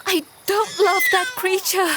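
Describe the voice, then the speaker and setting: Woman acting a high-voiced, devoted, anxious wife. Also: flat delivery, measured pace, noisy background. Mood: desperate. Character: loving, anxious young mother and devoted wife. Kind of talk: dramatic audio drama acting.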